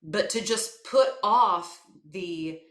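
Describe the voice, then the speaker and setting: A woman from South Carolina with a naturally low voice, giving thoughtful, hesitant interview answers full of fillers and restarts. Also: slow pace. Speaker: guest, voice coach and actor. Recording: echoey room.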